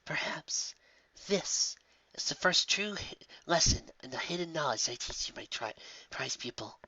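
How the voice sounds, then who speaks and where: A man voicing a wise, gentle female pony princess and mentor in a hushed, breathy, measured way. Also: fairly high pitch, lively intonation, dry recording, whispered, lo-fi sound, pitched above the performer's own voice, exaggerated voice. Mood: wistful, serious. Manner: measured, wistful.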